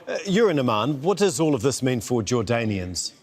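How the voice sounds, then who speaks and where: A male news anchor handing over to a correspondent in formal, brisk broadcast style. His voice sits at an ordinary middle pitch. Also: very animated.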